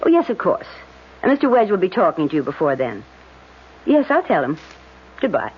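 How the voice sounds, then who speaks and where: Expressive wisecracking secretary. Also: female voice, lowish voice, brisk. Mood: polite. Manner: teasing, expressive. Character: lively, wisecracking, caring secretary to a lawyer. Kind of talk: vintage radio drama acting. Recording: dull, noisy old radio recording.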